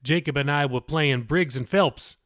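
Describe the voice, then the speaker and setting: A man's voice: a little high, normal speed, a bit bright, no echo, clean studio sound, natural voice. A man voicing a genial, joking middle-aged dad in an audiobook. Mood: playful, sheepish. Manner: folksy, sheepish humor. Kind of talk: audiobook character dialogue.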